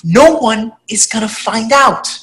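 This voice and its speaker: A woman's voice: a little high, unhurried, fairly bright tone, echoey room. A woman reading the part of a brash, impatient teenage girl at a table read.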